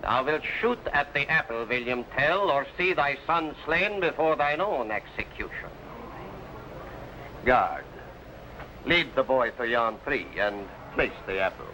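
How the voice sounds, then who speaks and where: An imperious tyrant from an old adventure serial. The voice is forceful and very animated, mocking in grand archaic phrases. A faint layer of old broadcast noise sits over it. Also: male voice, medium pitch, a little slow. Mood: menacing. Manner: theatrical, archaic speech, taunting. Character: cruel, haughty male governor, mocking and imperious tyrant. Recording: noisy old broadcast recording.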